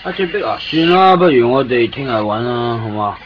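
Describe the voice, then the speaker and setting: A man's voice: medium pitch, expressive, moderate pace, natural voice. An actor with a Hong Kong Cantonese accent playing a talkative man who lives in Japan and fusses over a friend, in a 1990s radio drama. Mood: chatty. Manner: talkative, fussing and coaxing. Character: chatty Hong Kong man living in Japan, fussy guide. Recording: dull lo-fi radio broadcast with music.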